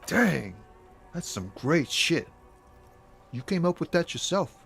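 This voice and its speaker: An actor voicing a laid-back young street poet and courier, talking in an easygoing, slangy way. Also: male voice, a little high, very animated, takes it slowly, vocal fry, music in the background, full character voice. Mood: impressed. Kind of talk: scripted game dialogue.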